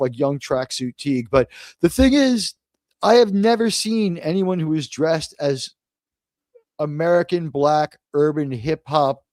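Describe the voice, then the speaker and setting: Blunt, opinionated man with a higher voice. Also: darkish tone, dry recording. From Queens, New York. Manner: blunt, opinionated, swears freely. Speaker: show host. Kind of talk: casual live panel talk.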